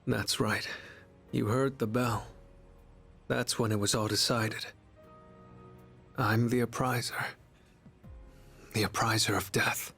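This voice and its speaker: A gentle young harbinger of death for a game. His mid-pitched voice is soft and husky, with music behind it. He speaks with grave resignation and quiet pleading. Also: steady tone, takes it slowly, pauses often, exaggerated voice. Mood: grave, apologetic. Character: gentle, sorrowful young male harbinger of death in human form. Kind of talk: English video game voice acting.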